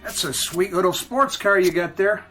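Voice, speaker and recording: A man's voice: high-pitched, moderate pace, over music, natural voice, poor audio. An actor in a radio drama playing a terse, unimpressed small-town male gas station attendant with a folksy way of talking. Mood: folksy, skeptical.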